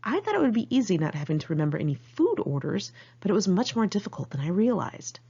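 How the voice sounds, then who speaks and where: An American woman reads her own memoir as an informal audiobook, with a candid, wry, expressive manner. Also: mid-range voice, quick pace, vocal fry, husky, highs cut off. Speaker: author reading own memoir.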